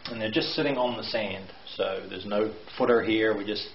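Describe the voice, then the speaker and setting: A middle-aged man with a fairly low voice talks through a renovation walkthrough, pausing often with "um" and "uh" as he explains. He is recorded on a handheld camera mic in a bare brick basement that sounds roomy. The audio is dull and lo-fi with a faint hiss underneath. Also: fairly even intonation, creaky. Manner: explanatory, hesitant with fillers. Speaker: renovation contractor. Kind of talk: walkthrough video tour.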